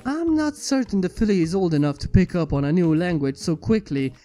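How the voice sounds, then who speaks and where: A mellow, studious mare princess played by a woman, earnest and a bit skeptical. She speaks in precise, formal, inquisitive phrases, with music softly behind her. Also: fairly low pitch, lively intonation, a little fast, voice pushed down, natural voice. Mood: concerned, curious. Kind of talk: fan fiction character reading. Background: background music.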